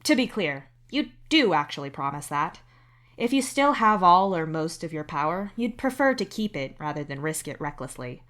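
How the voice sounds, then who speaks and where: A woman's voice: low-pitched, big pitch swings, moderate pace, a bit bright. A woman voicing a young male alien caretaker in a podfic, casual, teasing and protective. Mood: wry, suspicious.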